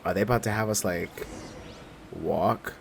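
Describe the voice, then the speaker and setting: A man's voice: lowish voice, measured pace, creaky, background noise. A man giving casual, slangy reactions as he plays through a game. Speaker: gaming video creator. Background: game music.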